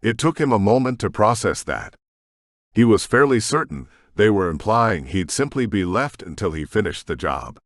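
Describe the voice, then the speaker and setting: A man narrating his own audiobook story and author's note in a measured, expressive way. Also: fairly low pitch, no echo, clean studio sound.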